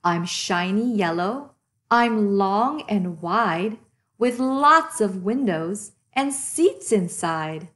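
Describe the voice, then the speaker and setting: A woman's voice: medium pitch, lively intonation, very slow, fairly bright tone. A woman voicing a friendly, proud female school bus in a children's storybook, kindly and a little boastful.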